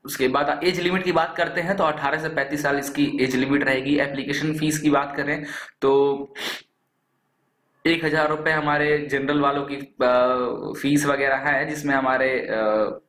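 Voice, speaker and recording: A man's voice: a little high, fairly even intonation, a little slow, a bit bright. Indian man with a cold, echoey room. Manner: chatty, addresses viewers as friends. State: has a cold, coughing and throat clearing. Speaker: job alert video host. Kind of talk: casual explainer over screen recording.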